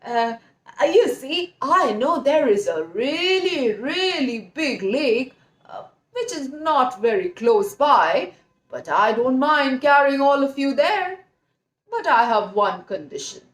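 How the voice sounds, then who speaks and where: A grumbling old male bird from a children's storybook, played by a woman. The crane is sly and full of self-pity, groaning mournfully. The delivery is slow and swings widely in pitch. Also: very animated, reverberant, exaggerated voice. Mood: sly, obliging.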